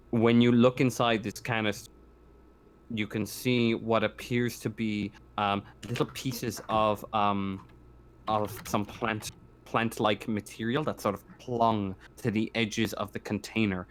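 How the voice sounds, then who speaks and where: A man with a lowish voice runs a tabletop roleplaying game as game master. He pieces his descriptions together haltingly as he goes, and there is noticeable background noise on the recording. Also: fairly even intonation. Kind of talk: improvised narration of a tabletop sci-fi roleplaying game.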